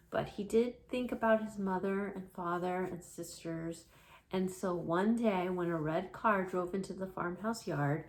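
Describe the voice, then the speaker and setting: A woman reading a storybook aloud for children in a gentle storytelling manner, like a children's librarian. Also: fairly low pitch, poor audio.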